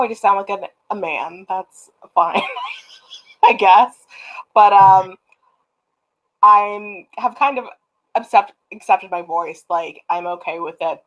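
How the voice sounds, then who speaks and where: A young woman from Canada, a guest writer on a video call, speaking reflectively with many pauses and ums. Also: medium pitch, fairly bright tone, dull audio. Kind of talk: casual video call chat. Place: home room on a video call.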